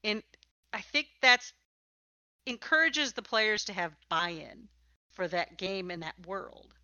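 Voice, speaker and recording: A woman's voice: mid-range voice, animated, takes it slowly, pushed and bright, no echo, lo-fi sound. A woman hosting a conversational interview about tabletop games, speaking in an emphatic, opinionated way. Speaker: podcast host and interviewer.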